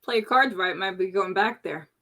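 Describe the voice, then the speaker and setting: A woman's voice: mid-range voice, moderate pace, pushed and bright. A woman chatting through a tabletop role-playing game as a player, casual, slangy and teasing as she improvises.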